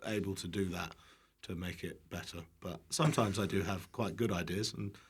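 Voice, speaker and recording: A man's voice: deep voice, normal speed, breathy edge. Rambling British male podcast guest. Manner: self-deprecating, rambling, playful. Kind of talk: casual chat.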